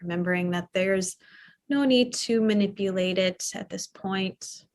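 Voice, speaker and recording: A woman yoga teacher leads a guided relaxation in an unhurried, gentle voice. She talks very slowly, leaving long pauses between soft, soothing phrases. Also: mid-range voice. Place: live online or studio class.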